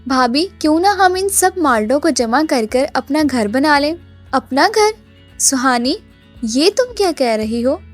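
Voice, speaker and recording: A woman voicing a caring, earnest young daughter-in-law in a cartoon. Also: high-pitched. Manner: earnest, reassuring.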